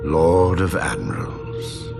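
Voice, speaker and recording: An actor playing an ancient, imperious alien warlord in a video game, speaking in slow, solemn, archaic oratory full of grief. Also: male voice, low-pitched, huge pitch swings, gravelly, over music, full character voice. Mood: grand, solemn. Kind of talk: dramatic video game villain monologue.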